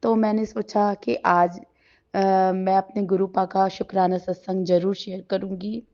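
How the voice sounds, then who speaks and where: A middle-aged woman with a sore throat, sharing a devotional gratitude talk at home before a shrine, in a gentle, halting way with many pauses. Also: medium pitch, level tone. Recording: slightly dull lo-fi recording.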